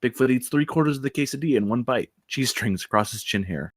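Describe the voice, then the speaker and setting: A man's voice: mid-range voice, animated, fast talker, dry recording, studio quality. A man reading out stage directions as the narrator of a screenplay table read.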